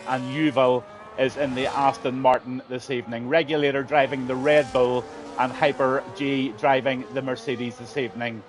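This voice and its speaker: Brief: middle-aged male sim racing commentator, mid-pitched, relaxed and chatty, voice slightly tired, light background hiss. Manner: chatty, friendly, low-key commentary. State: slightly strained voice. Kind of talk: live stream race commentary.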